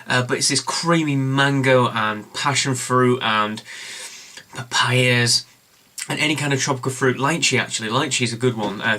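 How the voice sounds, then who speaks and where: A young Englishman reviewing a beer at home in a casual vlog, enthusiastic and rambling with plenty of fillers, his voice slightly hoarse. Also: mid-range voice, sharp tone. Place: home room with bookshelves, indoors.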